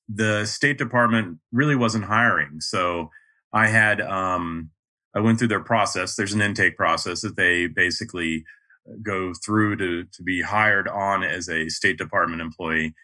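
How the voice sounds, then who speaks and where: A man in his fifties from North Carolina with a neutral mid-Atlantic American accent, speaking as a podcast guest in a measured way, with frequent ums. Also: low-pitched, bright, forceful tone. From North Carolina, USA. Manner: measured, many ums and uhs. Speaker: podcast guest, project manager. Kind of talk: casual interview talk.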